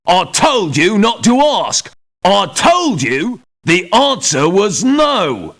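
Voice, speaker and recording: A hot-tempered male mercenary veteran from a video game. His voice sits fairly high and swings animatedly, with slow, drawn-out words that drip sarcasm and blunt scorn. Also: wildly swooping pitch, a bit bright, full character voice. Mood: angry, dismissive. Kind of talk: video game character voice lines.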